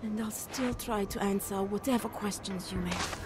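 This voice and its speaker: A woman's voice: medium pitch, moderate pace, noisy background, close to an everyday voice. A woman playing a wary, formal witness. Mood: guarded. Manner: formal, precise, guarded. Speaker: game character. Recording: game cutscene with loud music.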